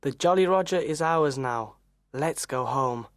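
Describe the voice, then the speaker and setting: Woman voicing a low, earnest boy adventurer. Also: measured pace, no echo, clean studio sound. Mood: satisfied. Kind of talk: acted children's audio story.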